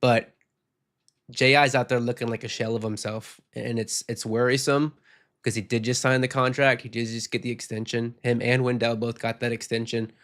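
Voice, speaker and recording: A man's voice: mid-range voice, level tone, normal speed, fairly bright tone. Man with a tired, sick voice. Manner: frank, rambling, reads viewer comments aloud. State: sick, losing his voice. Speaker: podcast host. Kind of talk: casual postgame chat reading comments.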